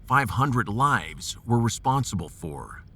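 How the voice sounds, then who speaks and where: Man acting a gruff, deliberate American starship captain. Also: medium pitch, sing-song, fairly bright tone, heavily acted. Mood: commanding. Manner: folksy slang, commanding. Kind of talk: sci-fi audio drama acting.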